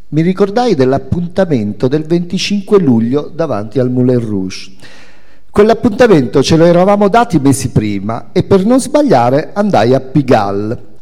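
A man's voice: a little high, mellow. A man reading a memoir aloud as a literary piece, with dramatic storytelling phrasing. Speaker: reader of a memoir. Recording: reverberant hall, faint music behind. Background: faint music.

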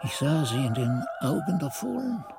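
A man's voice: a little high, moderate pace. An actor playing a monk who recalls his youth in a radio drama, narrating in a solemn, ardent, literary manner. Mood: rapturous, confessional. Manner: expressive, solemn, literary delivery. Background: music.

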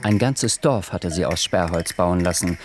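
A man narrating a radio drama in a measured, literary voice. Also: sits low. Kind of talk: scripted narration. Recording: with guitar score.

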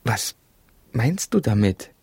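Casting: soothing, insistent husband, very breathy husky voice, lively intonation, radio drama. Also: male voice, mid-range voice, slow pace, dry recording, clean studio sound, heavily acted. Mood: calming. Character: reassuring, insistent husband of an amnesiac wife. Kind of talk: modern radio drama acting.

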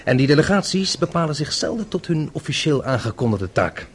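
An actor playing a courteous, self-assured French intelligence officer in a Dutch radio play, speaking formally with small hesitations. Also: male voice, a little high, very animated. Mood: composed, confident. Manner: measured, formal, hesitates before answers. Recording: radio play with noisy background ambience.

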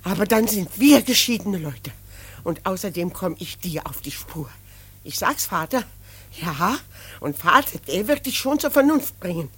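Husky, exasperated scolding mother. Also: lowish voice, expressive, moderate pace, fairly bright tone. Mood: stern, warning. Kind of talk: radio drama acting.

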